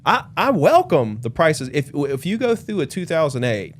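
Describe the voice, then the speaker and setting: A man's voice: fairly high pitch, sing-song, moderate pace. Easygoing man in podcast banter. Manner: loose, hedging with fillers and restarts. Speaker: podcast co-host, real estate agent. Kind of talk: casual podcast chat.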